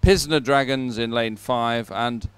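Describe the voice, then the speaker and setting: A man's voice: medium pitch, a little slow, smooth, no echo. A man hosting live sports commentary in a chatty, jovial way, as if talking with a colleague. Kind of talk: live sports commentary, relaxed co-host chat.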